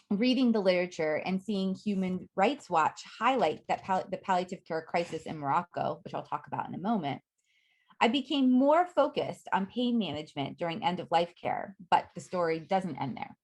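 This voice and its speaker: A middle-aged woman gives an academic faculty talk in a measured way, reading prepared remarks with frequent ums. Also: on the low side. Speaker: academic speaker.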